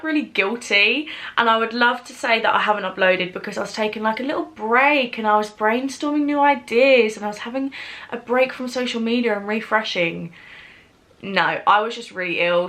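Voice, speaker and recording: A woman's voice: mid-range voice, pushed and bright. Chatty, bunged-up young British woman. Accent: British English. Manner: chatty and rambling, many "like"s. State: stuffy, bunged-up nose. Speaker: beauty vlogger. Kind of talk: get-ready-with-me chat.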